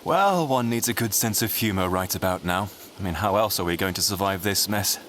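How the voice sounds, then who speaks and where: An actor playing an urbane, sardonic journalist and schemer in an audio drama, droll and theatrical in delivery. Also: male voice, low voice, a little fast, fairly bright tone. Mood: sly, teasing. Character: urbane, sardonic male state media journalist, flamboyant schemer. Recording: noisy ambience behind the voice.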